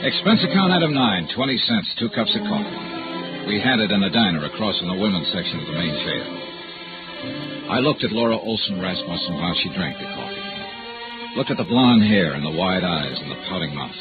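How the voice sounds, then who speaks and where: A man's voice: low voice, very animated, a little fast, narrow, tinny audio. An American man playing a tough, world-weary insurance investigator in 1950s radio noir style, terse and hardboiled. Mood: dry, world-weary. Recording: old band-limited radio recording, loud music.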